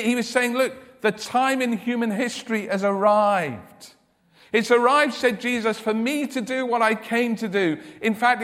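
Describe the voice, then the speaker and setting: A man with a loud, high voice preaches slowly and deliberately, stressing his points and often repeating words as he starts each thought. His words ring out with the long reverb of a large church hall. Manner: emphatic, repeats words when starting sentences. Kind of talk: sermon.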